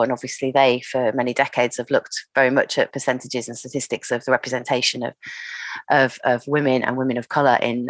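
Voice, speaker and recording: A woman hosting a podcast interview, sounding stuffy from a cold, gentle and hesitant with fillers. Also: rumbling low, no room sound. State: full of cold. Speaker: podcast host.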